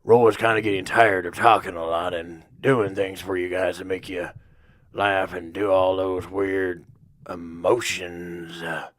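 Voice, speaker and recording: A man playing a dim, oddball space hero who rambles in deadpan third person for a comic audio drama. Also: sits low, measured pace, no echo, clean studio sound. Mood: rambling, casual. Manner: rambling, deadpan, refers to himself by name.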